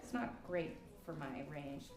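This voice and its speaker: A woman's voice: low-pitched, expressive, a little slow, a little mellow. Woman, young adult, workshop leader, chatty, upbeat, roomy hall sound. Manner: upbeat, chatty, counts the band in. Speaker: workshop leader, klezmer musician. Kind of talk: teaching a music workshop. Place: community center hall. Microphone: stage mic.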